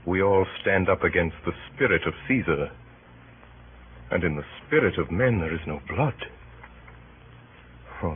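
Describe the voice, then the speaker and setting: Deep-voiced, solemn Roman nobleman, old radio. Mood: solemn, regretful. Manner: measured, solemn, oratorical. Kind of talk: 1930s-40s radio Shakespeare acting. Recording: noisy, narrow-band old radio recording.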